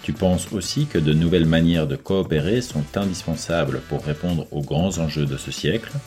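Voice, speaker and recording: A man's voice: very deep voice, moderate pace, darkish tone, faint music behind. A man hosting a podcast, reading an earnest introduction and then welcoming his guest. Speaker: podcast host. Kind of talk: podcast host, intro and interview.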